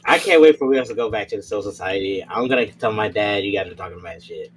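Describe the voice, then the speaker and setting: A man's voice: on the low side, fast talker, very noisy, lightly acted. A man voicing a boastful, bratty kid who brags insistently and childishly in a tabletop roleplay. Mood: bragging. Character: boastful bratty kid proud of his powerful father.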